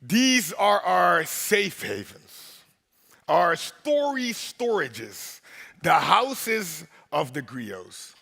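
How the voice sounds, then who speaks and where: A deep-voiced male host on a big stage, his gravelly voice bright and forward as it rings through a large hall with a long echo. He speaks in a playful, rhythmic way, teasing the crowd and checking in with them. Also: big pitch swings, very slow. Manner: playful, rhythmic, crowd-engaging with repeated "right?". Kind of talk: opening speech at a congress.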